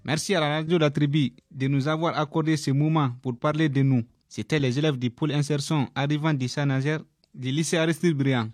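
A man's voice: fairly high pitch, fairly even intonation, a little fast, a little mellow. A young man from Guinea with a Guinean accent, giving a prepared personal testimony as a student. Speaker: student recounting his experience. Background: faint music.

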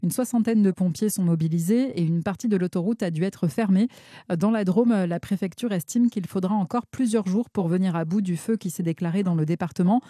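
A woman's voice: on the low side, brisk, mellow, dry recording, studio quality. A woman reading a scripted radio news bulletin as a newsreader.

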